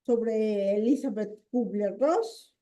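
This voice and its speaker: A woman with a Mexican accent hosting an informal interview in a halting, unhurried way. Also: mid-range voice, warm tone. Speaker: interviewer, channel host.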